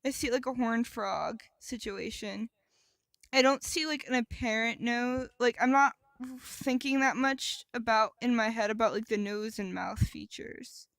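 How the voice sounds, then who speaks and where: High-voiced woman in casual podcast chat. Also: steady tone, a bit bright, dry recording. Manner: halting, improvised descriptions with frequent "like". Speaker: podcast co-host.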